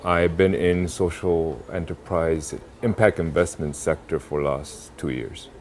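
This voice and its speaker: A young adult man from South Korea answering interview questions about impact investing, pausing often with fillers and restarts. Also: low-pitched, steady tone, a little mellow, slight background noise. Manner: halting, many fillers and restarts.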